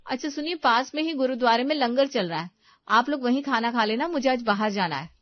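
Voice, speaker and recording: A woman voicing a sharp, domineering wife and mother in a family storybook tale, bossy and scolding. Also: mid-range voice, expressive, fairly quick, no echo, muffled recording, lightly acted. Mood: pushy, brisk. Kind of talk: dramatized storybook narration.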